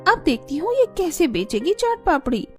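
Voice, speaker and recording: A woman acts out a haughty, jealous rich businesswoman from a moral cartoon. The voice is high and sharp-tongued, full of scolding and sneering, with light music underneath. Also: big pitch swings, moderate pace. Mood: smug mocking. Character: spiteful, haughty rich woman restaurant owner, jealous and scheming. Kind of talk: Hindi moral cartoon voice acting.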